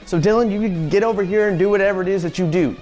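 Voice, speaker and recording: Sardonic man with a slightly high voice. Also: very fast, darkish tone, low-quality recording. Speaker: co-host. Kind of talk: semi-scripted video review commentary. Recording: music behind the voice.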